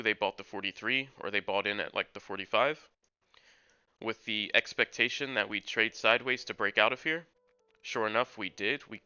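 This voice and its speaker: A man's voice: lowish voice, sharp tone, clean tone. A young man streaming live from home, giving upbeat chart commentary to chat viewers while losing his voice from a sore throat. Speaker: crypto trading streamer. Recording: dull lo-fi sound with faint music. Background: faint background music.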